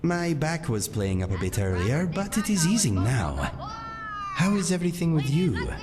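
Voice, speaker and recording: A man voicing a courteous cat-person adventurer companion in a video game, with formal, measured phrasing. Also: a little high, very animated. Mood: friendly, calm. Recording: long reverb, music behind.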